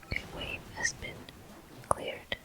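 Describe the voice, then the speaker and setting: A woman hosting a gameplay video in ASMR style, murmuring softly and gently as she comments. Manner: soft, gentle, unhurried murmuring. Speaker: gameplay host.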